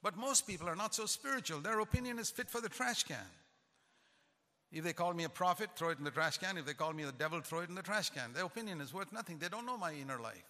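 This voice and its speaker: A man's voice: high-pitched, lively intonation, quick pace, sharp tone, in a large hall. A man who is a pastor, preaching a sermon with emphatic, repetitive cadence.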